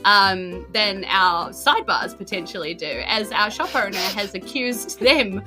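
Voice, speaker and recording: A woman hosting as dungeon master, narrating a tabletop game recap in an excited way. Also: medium pitch, unhurried, music in the background.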